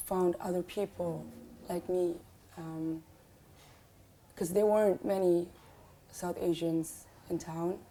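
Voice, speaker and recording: A young woman from Switzerland, chatting casually as a musician guest in an unhurried, hesitant way with many "um"s. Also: lowish voice, warm tone, a little hiss or hum. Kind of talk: casual conversation.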